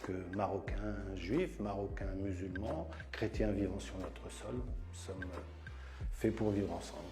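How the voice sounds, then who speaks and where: A man's voice: low voice, measured pace. A middle-aged man born and raised in France who leads a community association, talking in an interview monologue and repeating words for emphasis. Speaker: association leader. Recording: echoey room with music.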